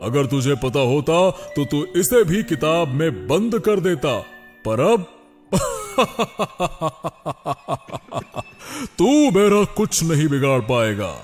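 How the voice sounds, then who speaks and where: A man voicing a gloating, menacing male vampire demon in an animated horror story. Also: on the high side, very slow, faint music behind, exaggerated voice. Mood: gloating menace.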